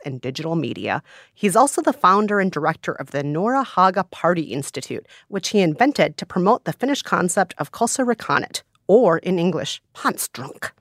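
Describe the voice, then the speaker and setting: A woman's voice: fairly low pitch, no room sound, studio quality. Playful woman podcast co-host. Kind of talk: casual chat and reading steps aloud.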